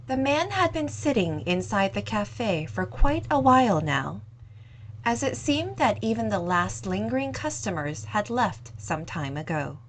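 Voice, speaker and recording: Soft-spoken woman reading a story. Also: mid-range voice, slight background noise, poor audio. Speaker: audiobook reader. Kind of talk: scripted narration of a short story.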